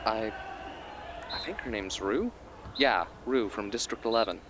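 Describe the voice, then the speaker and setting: A man's voice: lowish voice, expressive, unhurried. A man playing a mild, good-natured teenage boy in a radio drama, easygoing and self-deprecating. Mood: friendly. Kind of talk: hobby radio drama acting. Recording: clearly noisy background ambience.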